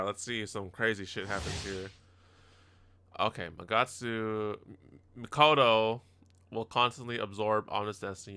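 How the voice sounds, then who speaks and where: Low-voiced man commenting on gameplay. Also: sharp tone, clear, resonant tone. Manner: slangy, reacts aloud to the game. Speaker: gaming video host. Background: game soundtrack.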